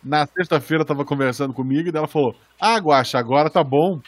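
A man's voice: fairly high pitch, fast talker, a little hiss or hum. A young adult man with a Brazilian accent co-hosting a casual podcast, chatty and joking as he tells stories. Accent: Brazilian Portuguese. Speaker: podcast co-host. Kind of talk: casual podcast chat with sponsor read.

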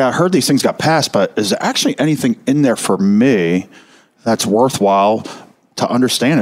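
Emphatic male retirement advisor. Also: mid-range voice. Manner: energetic, emphatic, slows down to stress figures. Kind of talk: explanatory talk to listeners.

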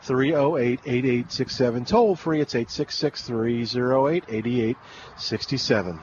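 Male radio host, chatty and rambling, mid pitch, outdoor remote broadcast, muffled audio. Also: unhurried, darkish tone, slight background noise. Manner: rambling and chatty, many fillers and restarts. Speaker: radio gardening show host. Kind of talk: live call-in radio chat.

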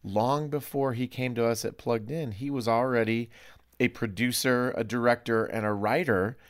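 A man's voice: mid-range voice, steady tone, normal speed. A man hosting a studio discussion podcast in a playful, effusive and emphatic way. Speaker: podcast host.

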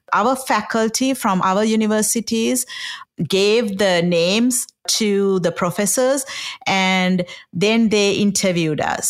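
A woman, explaining her path in a podcast interview. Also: fairly low pitch. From Sri Lanka. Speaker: guest scientist.

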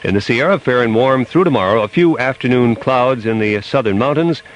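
A man's voice: mid-range voice, fairly quick, low-quality recording. A man with an American accent anchoring a live radio news broadcast.

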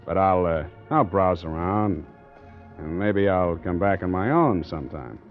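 Low-voiced, hard-boiled American sea captain. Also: male voice, expressive, unhurried. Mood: wry, probing. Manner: clipped, wry hard-boiled delivery. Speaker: actor narrating and playing the lead. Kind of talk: 1940s radio drama acting. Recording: dull old radio recording, organ music.

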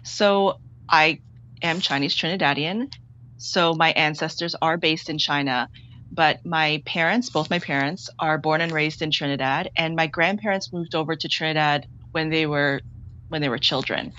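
A woman filmmaker being interviewed remotely, speaking candidly and haltingly with frequent restarts. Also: sits low, pushed and bright, dull audio, slight background noise. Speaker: filmmaker guest. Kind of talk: interview over a remote link.

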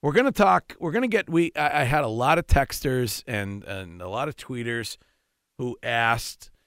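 A man with a nasal voice hosts a bantering studio podcast. He stumbles and repeats words as he goes. The recording is dry and close, and his tone comes through smooth. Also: medium pitch, animated, a bit bright, no room sound. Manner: halting, many repeated words and restarts. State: recovering voice after a week without one. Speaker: radio show host. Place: radio studio. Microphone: studio microphone.

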